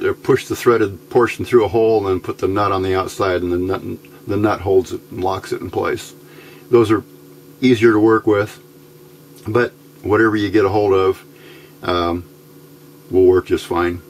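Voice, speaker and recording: Male hobbyist instructor, lowish voice, casual and halting delivery, noticeable background noise on the recording. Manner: unhurried, halting, thinking aloud. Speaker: electronics hobbyist host. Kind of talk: casual hands-on tutorial narration. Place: indoor workbench, hands filmed from overhead.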